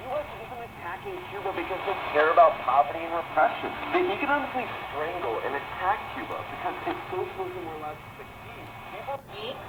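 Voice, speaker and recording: A woman's voice: lowish voice, sing-song, moderate pace, few pauses. A woman broadcaster giving political commentary, heard through a shortwave radio. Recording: very noisy shortwave radio reception.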